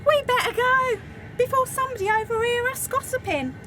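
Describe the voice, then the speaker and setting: Woman acting very high-pitched, gossipy factory worker. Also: fairly quick. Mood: conspiratorial. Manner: conspiratorial, chatty. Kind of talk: amateur radio play acting. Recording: loud background noise.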